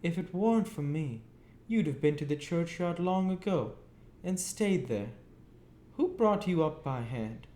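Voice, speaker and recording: A man's voice: on the high side, lively intonation, unhurried, mellow, pitched above the performer's own voice. A man voicing a furious, nagging working-class woman scolding her family in a storybook reading. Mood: scolding, aggrieved. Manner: exclamatory, nagging. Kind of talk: audiobook character reading. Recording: roomy echo, faint background noise.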